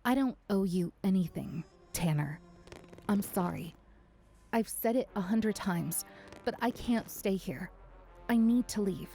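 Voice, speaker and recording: A woman's voice: medium pitch, unhurried, gravelly, warm tone, soft background music. A woman playing a weary, self-conscious but defiant young woman in a first-person audio drama. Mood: firm, weary.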